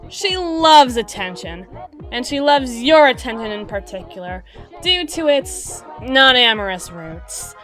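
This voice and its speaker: A woman in an ASMR roleplay voicing a gruff, guarded drider girl bouncer in her twenties, wry and brusque with exasperated sighs. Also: fairly high pitch, sing-song, unhurried, fairly bright tone. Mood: teasing. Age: 20s. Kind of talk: ASMR roleplay audio drama. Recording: music playing in the middle distance. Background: club song playing in the middle distance.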